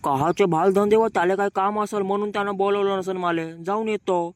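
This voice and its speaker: Man playing a high-voiced brash village lad. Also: steady tone, brisk, no room sound, clean studio sound, natural voice. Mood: grumbling banter. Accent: rural Marathi. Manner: bickering, slangy. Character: brash, grumbling young village men in a comic cartoon. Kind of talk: comic cartoon dialogue.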